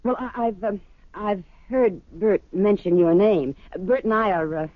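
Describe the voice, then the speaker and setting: An actress playing an anxious but determined wife, probing and hesitant, in a 1970s radio drama. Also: female voice, mid-range voice, a little slow, no room sound, natural voice. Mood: guarded, hesitant. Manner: probing, hesitant, emotionally urgent. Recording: dull lo-fi old radio recording.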